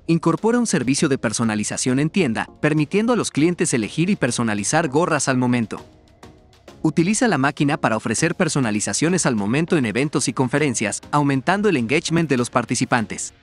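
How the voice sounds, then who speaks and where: A man narrating a scripted promotional explainer in a measured, formal read-out manner. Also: mid-range voice, lively intonation. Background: background music.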